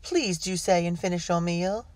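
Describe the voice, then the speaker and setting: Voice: medium pitch, normal speed, a little mellow, no echo, pitched above the performer's own voice, close to an everyday voice. A storyteller voicing a fussy, anxious, very polite colonial mother in an audiobook, with a proper, exclamatory manner. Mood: nervously polite.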